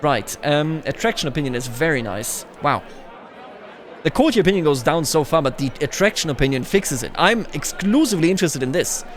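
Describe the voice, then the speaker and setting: Male game streamer, slightly high voice, casual and expressive, thinking aloud between narrated passages. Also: fairly quick, some chatter behind. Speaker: gaming video host. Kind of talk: casual game commentary mixed with reading in-game story text aloud.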